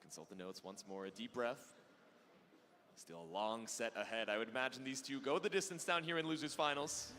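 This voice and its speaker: A man calling a fighting game match in excitable, clipped play-by-play phrases. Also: medium pitch, brisk, a little hiss or hum. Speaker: esports commentator. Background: music.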